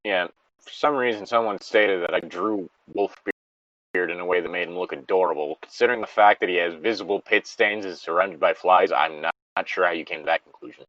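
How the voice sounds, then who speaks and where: A man's voice: deep voice, a little fast, fairly bright tone, no room sound, muffled recording. A man co-hosting a story reading show, switching between reading aloud and casual, sardonic, rambling commentary with some swearing.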